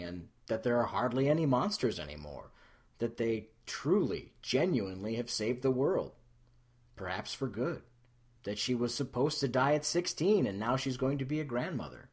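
A man's voice: mid-range voice, breathy edge. A man reading fiction aloud as a dramatic, scripted narration. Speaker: story reader.

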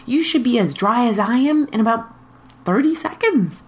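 A woman voicing a smooth, guarded and smug man, a reformed villain in a story. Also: fairly high pitch, expressive, moderate pace, voice pushed up, close to an everyday voice. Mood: confident, beaming.

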